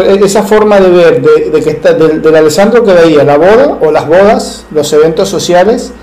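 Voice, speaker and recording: A man's voice: high voice, a little mellow, low-quality recording. A male interviewer asking long, rambling questions with frequent self-corrections.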